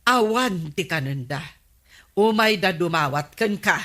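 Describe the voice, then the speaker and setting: A woman's voice: lowish voice, animated, measured pace, a bit bright. A woman with an Ilocano accent playing the nagging wife of a village captain in a radio comedy drama.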